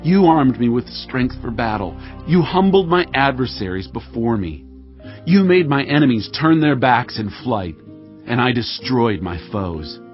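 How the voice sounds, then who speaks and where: A man's voice: mid-range voice, lively intonation, a little slow, lightly acted. An actor playing a devout warrior king, reciting a psalm of praise in a solemn, fervent, declamatory manner. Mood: triumphant. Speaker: Bible voice actor. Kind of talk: dramatized scripture reading. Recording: dull audio with music behind.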